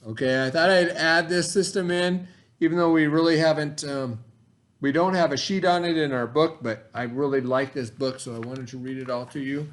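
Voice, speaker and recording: A man teaching by reading aloud to pupils, pausing to explain in casual asides and check that they follow. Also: fairly high pitch. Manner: pauses to explain, checks in with "okay?".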